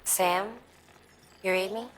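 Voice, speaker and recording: An actress playing a cool, eerie young woman who talks in terse, deliberate phrases in a video game. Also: medium pitch, steady tone, lightly acted. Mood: calm, assured. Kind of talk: video game cutscene acting.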